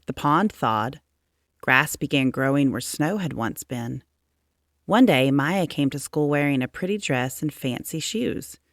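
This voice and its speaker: Lady reading a kids book out loud, low voice, really unhurried and expressive storytelling. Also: dry recording, clean studio sound. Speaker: storybook reader. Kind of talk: children's read-aloud narration.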